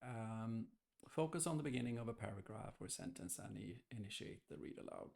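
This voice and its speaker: Creaky-voiced man giving a software walkthrough. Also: lowish voice, expressive, fairly quick. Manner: hesitant, thinking aloud. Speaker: software tester demonstrating. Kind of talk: casual screen-recorded software walkthrough. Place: indoors, screen-recorded voice-over.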